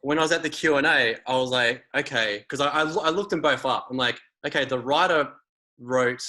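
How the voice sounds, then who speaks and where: A man's voice: mid-range voice, fairly quick, pushed and bright. A man, hosting a casual conversation and rambling with many fillers and restarts.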